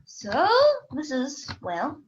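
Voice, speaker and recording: A woman's voice: high-pitched, lively intonation, very slow, highs cut off, a little hiss or hum. A woman hosting a casual podcast lesson over a video call, bubbly and laughing often. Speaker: host and English teacher.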